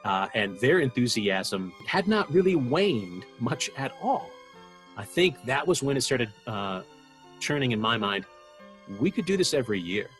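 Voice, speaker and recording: A man's voice: mid-range voice, very animated, measured pace, faint music behind. A man being interviewed, looking back reflectively on his experiences. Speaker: radio drama participant being interviewed.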